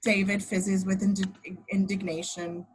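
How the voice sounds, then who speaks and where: A woman's voice: mid-range voice, little pitch movement, unhurried, slight background noise, poor audio. A woman reading scripted action description as narrator in an amateur table read of a screenplay.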